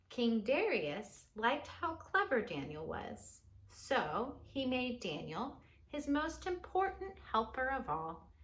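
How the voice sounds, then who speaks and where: Bright-voiced woman reading slowly to children. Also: medium pitch. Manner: expressive, dramatic pauses, conversational asides to listeners. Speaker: children's story reader. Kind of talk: storybook read-aloud to children.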